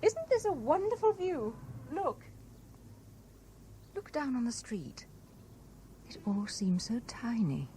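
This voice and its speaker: An actress with a British accent playing a wistful, enigmatic married woman in a 1970s radio drama, musing with soft intensity. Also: high-pitched, big pitch swings, slow pace, long pauses, heavily acted. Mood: dreamy. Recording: noisy 1970s radio broadcast recording.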